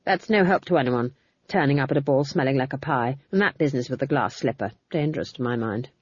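A woman's voice: very deep voice, fast talker, husky, no room sound, dull audio. A woman voicing a stern, icy old witch who speaks in curt, clipped phrases, reading for an audiobook. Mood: scornful. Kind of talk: audiobook character voice.

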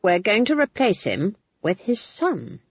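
A woman's voice: medium pitch, huge pitch swings, unhurried, husky, no echo, muffled recording, pitched above the performer's own voice, heavily acted. A woman voicing a stern, icy old witch who speaks in curt, clipped phrases, reading for an audiobook. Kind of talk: audiobook character voice.

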